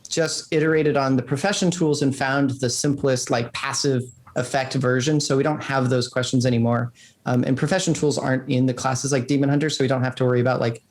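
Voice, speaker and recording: A man being interviewed as a game designer, talking casually in rambling explanations peppered with "like". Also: mid-range voice.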